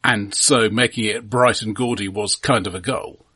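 A man's voice: fairly low pitch, fairly even intonation, normal speed, sharp tone, husky. A man co-hosting a podcast, talking casually about films in a conversational way. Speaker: podcast co-host. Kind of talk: casual film discussion.

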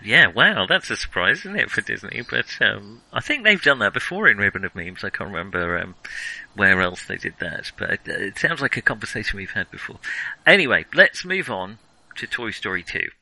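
A man's voice: on the low side, big pitch swings, moderate pace, sharp tone, low-quality recording. A man co-hosting a casual film discussion, musing aloud and wandering off into tangents. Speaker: podcast co-host.

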